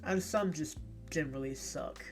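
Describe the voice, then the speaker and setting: High-voiced man chatting over music. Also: normal speed. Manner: chatty, candid, emphatic. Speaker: guest co-host. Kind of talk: casual commentary.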